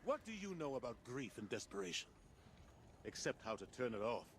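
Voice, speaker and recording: A man's voice: medium pitch, very animated, a little fast, slight background noise, close to an everyday voice. A man playing a grieving, stubborn military commander in a video game, grave and blunt in delivery. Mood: bitter. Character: grim, grieving older military commander, male, bitter and stubborn. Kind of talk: dramatic video game dialogue.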